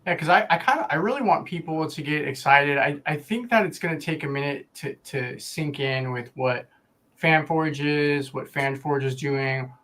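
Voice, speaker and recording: A man with a fairly high voice talks as a co-host in a casual online panel. He picks his words carefully, hedging and rephrasing as he goes. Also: moderate pace, sharp tone. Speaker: co-host asking questions.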